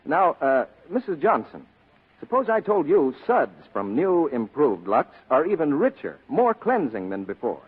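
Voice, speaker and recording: Male American announcer, emphatic vintage radio pitch, husky edge, narrow phone-like sound. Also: huge pitch swings, a little slow. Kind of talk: 1940s scripted radio commercial. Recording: tinny 1940s radio broadcast.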